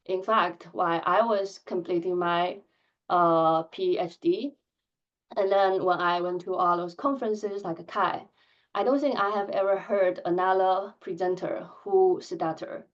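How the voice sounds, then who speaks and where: Soft-spoken woman who stutters. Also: fairly low pitch, steady tone, muffled recording. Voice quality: stutters, with blocks and repeated fillers. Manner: soft-spoken, candid, measured. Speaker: conference speaker. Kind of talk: conference talk. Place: conference hall.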